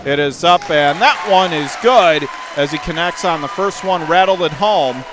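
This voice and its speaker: A man calling live basketball play-by-play for radio in clipped, urgent phrases that rise into excited calls. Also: fairly high pitch, normal speed. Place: indoor high school basketball gym. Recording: game crowd and gym noise behind.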